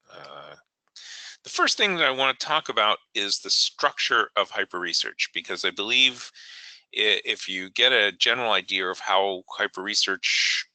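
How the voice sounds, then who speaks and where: Hoarse man with a deep, dry voice, talking haltingly through a software tutorial. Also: no echo, low-quality recording. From New England, United States. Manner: halting, many fillers, clears throat. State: hoarse, gravelly voice. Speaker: software trainer hosting a webinar. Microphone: online meeting audio.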